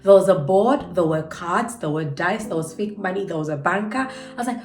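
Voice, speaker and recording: A woman's voice: fairly low pitch, animated, a little fast, darkish tone. A woman hosting her channel, stuffy and nasal from a cold, talking earnestly and encouragingly about a book and stretching words out for emphasis. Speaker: channel host. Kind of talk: motivational book breakdown. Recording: echoey room with faint music.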